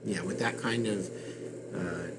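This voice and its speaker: A man's voice: fairly low pitch, unhurried, very noisy. A man chatting casually, a member and presenter in an amateur radio club. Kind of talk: casual chat. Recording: music playing over the voice. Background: loud guitar music.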